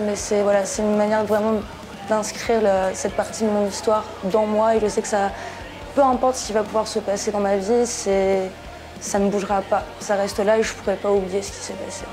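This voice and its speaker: Hesitant young woman, flat voice. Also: mid-range voice. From Portugal. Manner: hesitant, many fillers and restarts. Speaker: interviewee, tattoo shop piercer. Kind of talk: casual portrait interview.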